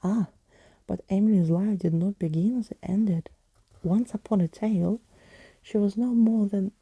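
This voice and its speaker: Jaunty old-fashioned skeleton gentleman, acted by a woman, theatrical, whimsical, very mellow, breathy whisper, close and dry, storybook. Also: mid-range voice, moderate pace, no echo. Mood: wistful, curious. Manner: theatrical, wordy, whimsical phrasing. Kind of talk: whispered bedtime storybook reading.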